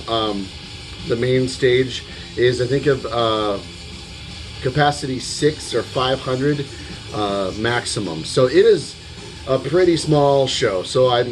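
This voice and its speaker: A man with a slightly hoarse voice giving a casual vlog recap, rambling and hesitant, with the odd casual swear word. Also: medium pitch. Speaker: music vlogger. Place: at home. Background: metal music playing in the background.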